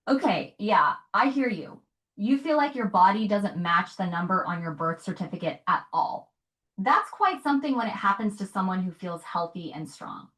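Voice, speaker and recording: A woman speaking calmly and evenly, paraphrasing what she hears and asking follow-up questions. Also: medium pitch, rough recording. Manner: calm, measured, paraphrases and asks follow-up questions. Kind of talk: conversational advice.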